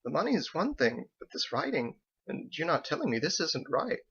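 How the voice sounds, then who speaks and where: A man's voice: fairly high pitch, big pitch swings, moderate pace, bright, forceful tone, husky, lo-fi sound, pitched above the performer's own voice, exaggerated voice. A man voicing a loving, worried wife in her sixties, affectionate and pleading, as a character in an audiobook. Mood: worried, hushed. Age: 60s. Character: loving, worried sixty-five-year-old wife, bustling and warm.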